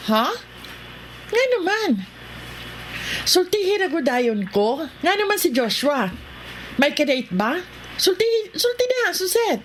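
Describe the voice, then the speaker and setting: A pushy, suspicious Visayan wife in a radio serial. Her high voice swings up and down impatiently, over faint noise. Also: very animated, slow pace, long pauses, full character voice. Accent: Filipino, Visayan. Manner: teasing, pushy questioning. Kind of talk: radio drama acting.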